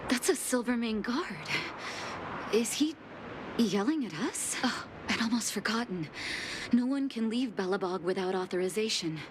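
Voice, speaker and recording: An actress voicing a composed, determined woman who is a doctor and leader, speaking in a measured, thoughtful way with soft sighs, with game music behind her. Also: mid-range voice, expressive, noisy background, close to an everyday voice. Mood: resolute. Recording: studio voice-over with game music.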